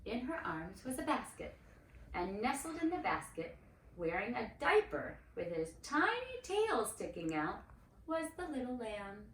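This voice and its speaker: A woman reading a children's story aloud expressively, with dramatic, deliberate phrasing. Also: a little high, very animated. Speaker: storybook reader, cantor. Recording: roomy, distant mic with faint noise.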